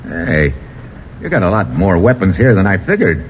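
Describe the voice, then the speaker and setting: A man's voice: sits low, very animated, normal speed. An actor playing a wry, breezy tough-guy adventurer with an American accent and slangy old-time radio delivery. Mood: breezy, curious. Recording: muffled, noisy old radio recording.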